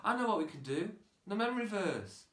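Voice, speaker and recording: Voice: medium pitch, sing-song, fairly quick, a bit bright, reverberant. A performer voicing Jacob, a cheerful boy puppet on a children's church show who talks in a flustered, gasping way. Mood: dismayed.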